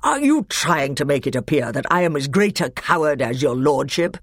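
A man's voice: medium pitch, sing-song, normal speed, no echo, clean studio sound. A man putting on a roaring, furious king. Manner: growling, roaring, blustering. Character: angry proud middle-aged male usurper king.

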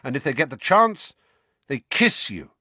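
A man's voice: mid-range voice, very animated, unhurried, sharp tone, no room sound, pitched above the performer's own voice, heavily acted. A man voicing an exasperated, defiant teenage boy, terse and impatient, in an audiobook story.

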